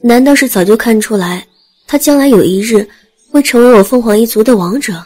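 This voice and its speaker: A woman's voice: a little high, fairly quick, dark tone, voice pushed up, natural voice. A woman voicing a frank, self-deprecating young phoenix in an audiobook, speaking in an informal, protesting manner. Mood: puzzled, probing. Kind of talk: audiobook character acting.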